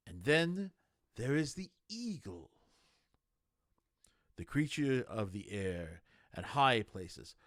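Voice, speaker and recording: A man reading a novel aloud in a meandering, halting, conversational way, like an amateur audiobook reader. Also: mid-range voice, dry recording, studio quality. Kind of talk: casual chat, then reading a novel aloud.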